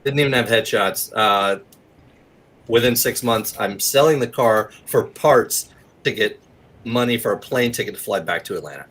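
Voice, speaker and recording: A man's voice: fairly low pitch, normal speed, fairly bright tone. An American man in his forties chatting casually and candidly as an interview guest, rambling a little. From southeastern United States. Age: 40s. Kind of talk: casual autobiographical chat.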